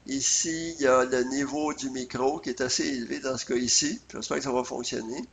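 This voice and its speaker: A man's voice: medium pitch, fairly even intonation, fairly quick, vocal fry, a little hiss or hum. A man with a Quebec French accent narrating a casual screencast tutorial step by step, with some hesitation, on a USB computer microphone. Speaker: software tutorial presenter.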